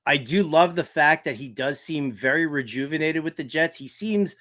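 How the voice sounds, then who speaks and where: A man hosting a casual sports talk interview, emphatic and halting as he builds his questions. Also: on the high side, fairly bright tone.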